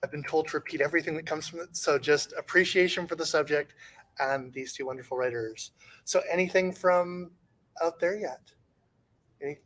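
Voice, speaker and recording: A man's voice: fairly high pitch, normal speed, a bit bright, rough recording. A man moderating a book panel, chatty and upbeat as he takes questions.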